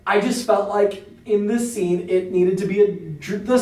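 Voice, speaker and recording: A man's voice: high-pitched, measured pace, warm tone, recorded from a distance. A man hosting a casual interview, talking in a conversational, amused and self-deprecating way. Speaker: podcast interviewer, show writer. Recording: echoey room, off mic, faint noise.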